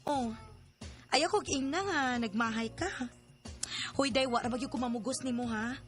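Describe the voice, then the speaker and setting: An actress with a Filipino Cebuano accent playing a streetwise, teasing, chatty veteran bar worker in an audio drama. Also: female voice, on the high side, normal speed, natural voice. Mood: teasing, advising. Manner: chatty, teasing, interjection-heavy. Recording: light music under voice.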